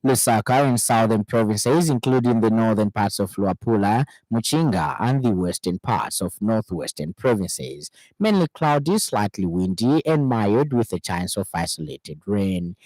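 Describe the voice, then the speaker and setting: A man with a Zambian accent reading a scripted radio news bulletin in a formal, measured way. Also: on the low side, expressive, darkish tone, no room sound, poor audio. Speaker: news reader.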